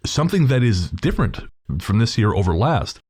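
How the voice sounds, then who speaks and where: A man's voice: medium pitch, sing-song, fairly quick, a little mellow, slightly rough. A man hosting a podcast, casually introducing an episode and speaking to listeners in an informal, slangy way. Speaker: podcast host.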